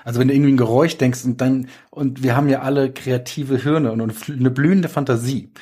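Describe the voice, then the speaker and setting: Husky mid-pitched man talking casually. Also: steady tone, fast talker, warm tone. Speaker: thriller author on a panel. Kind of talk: casual discussion.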